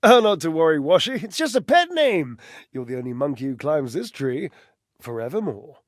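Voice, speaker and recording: An actor voicing a teasing, flamboyant socialite in a video game, playful, theatrical and gleefully condescending. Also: male voice, a little high, very animated, normal speed, no room sound, studio quality. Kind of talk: video game character acting.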